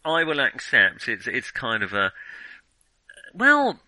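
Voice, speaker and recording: A man's voice: mid-range voice, wildly swooping pitch, slow pace, sharp tone, no echo, rough recording. A man chatting casually as a film podcast host, opinionated and thinking aloud as he reviews.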